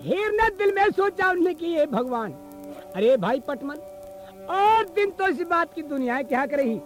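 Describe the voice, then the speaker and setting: A man. He performs as a traditional bard, alternating sung verse with narration, while an instrument plays clearly along on a field cassette recording. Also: extremely high pitch, very animated, moderate pace, soft background music. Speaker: traditional folk storyteller and singer. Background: musical instrument accompaniment.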